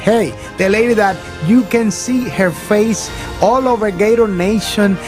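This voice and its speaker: High-voiced hyped bilingual radio host. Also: male voice, unhurried, over music. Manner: upbeat, hyped announcer switching between English and Spanish. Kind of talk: bilingual morning radio show. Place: radio studio.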